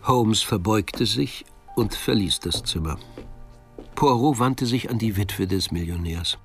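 An actor narrating a German radio murder mystery in a measured, atmospheric storytelling manner. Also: male voice, on the low side, lively intonation, a bit bright, soft background music. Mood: measured, suspenseful. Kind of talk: scripted narration in radio drama.

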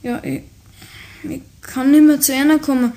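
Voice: high voice, fairly even intonation, moderate pace, warm tone. A performer playing a polite, fond young schoolboy with a Bavarian accent, earnest and conversational, in a radio play. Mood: hesitant, earnest. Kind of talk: German radio play acting.

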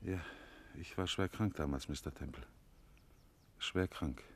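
An actor playing a middle-aged intellectual novelist in a 1950s German radio drama, hesitant, evasive and self-deprecating. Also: male voice, extremely low pitch, a little slow. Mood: uneasy. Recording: noisy vintage radio recording.

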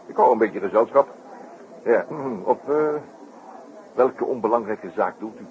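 A man's voice: fairly low pitch, expressive, unhurried. An actor playing a genial, courteous French detective on holiday in a 1960s radio play, chuckling and hesitating as he talks. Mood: courteous, probing. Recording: noisy, muffled old radio broadcast recording.

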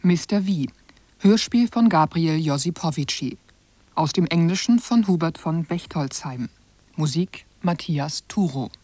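Slow, low-pitched woman announcer. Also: lively intonation, mellow, no room sound. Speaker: radio announcer. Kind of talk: scripted credits announcement.

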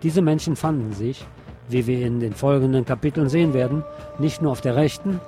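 A man presenting on the radio, reading a nonfiction book chapter aloud in a measured, bookish way. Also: medium pitch, music in the background.

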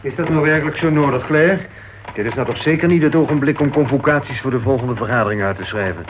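A dull old radio recording with faint noise. An actor plays a well-meaning clubman of average pitch, coaxing and pressing with questions as a concerned friend. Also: fast, close to an everyday voice. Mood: coaxing, reproachful. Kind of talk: radio play acting in Dutch.

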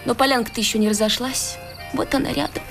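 Casting: proud rural woman, high voice, needling yet wistful, radio play with music underneath. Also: steady tone, fast, gravelly. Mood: teasing. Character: proud, teasing village woman, farm manager, secretly smitten. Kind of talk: Russian radio drama acting.

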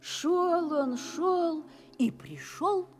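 A woman's voice: very high-pitched, extremely slow, darkish tone, soft background music. A woman narrating a scripted fairy tale for children. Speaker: storyteller, narrator.

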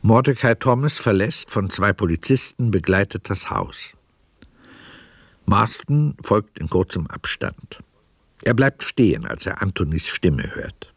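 A male narrator reads scripted scene descriptions for a radio play. His voice is fairly low with a creaky grain and varied melody, recorded dry. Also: big pitch swings, a little slow, dry recording.

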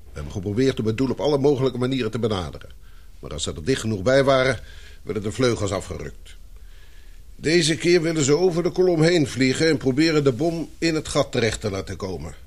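A man playing a gruff, weary military commander with a dry, sardonic, clipped manner in a radio play. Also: mid-range voice, sing-song, quick pace, darkish tone. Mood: weary, blunt.

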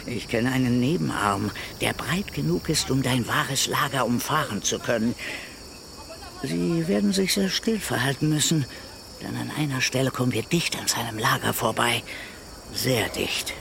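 A man playing a sly, resentful informer in a German radio drama, insinuating and bitter, with a creaky voice. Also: medium pitch, animated, moderate pace, a bit bright, a little hiss or hum. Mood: conspiratorial, wheedling. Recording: music behind the voice.

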